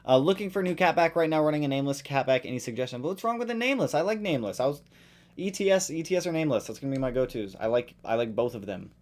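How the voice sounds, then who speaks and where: A man hosting a live stream, reading out viewer questions and answering them in a loose, chatty way. He greets regulars with sudden loud, high bursts of excitement. His voice sounds a little worn after a long session. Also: racing. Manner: reads viewer comments aloud and answers them in a relaxed, chatty way; greets viewers loudly with sudden jumps in pitch. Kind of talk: casual live stream Q&A about cars.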